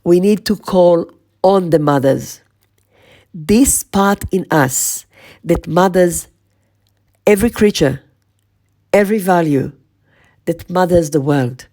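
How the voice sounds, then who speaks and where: Slow, husky-toned woman podcast host. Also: on the low side, a little mellow. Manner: slow, measured, lingering on lists. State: voice strained, losing her voice. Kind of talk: reflective spoken podcast monologue.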